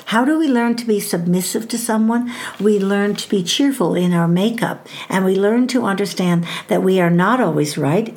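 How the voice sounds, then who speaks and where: An older woman giving a devotional talk on parenting and faith, speaking in a measured, emphatic way and slowing down on scripture references. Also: medium pitch, a little mellow.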